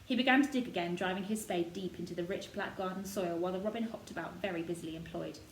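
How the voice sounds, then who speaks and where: A woman's voice: on the low side, fast. A woman reading scripted narration from a children's novel. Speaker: storybook reader. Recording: roomy echo, noticeable background noise.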